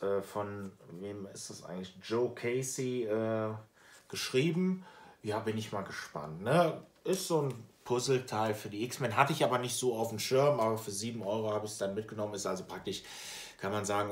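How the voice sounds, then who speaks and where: A middle-aged man, chatting casually and haltingly to camera as a video host. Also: on the low side. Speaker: comic collector and video host. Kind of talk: casual chat to camera, showing purchases. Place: small room at home with shelves. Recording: roomy, echoing indoor sound.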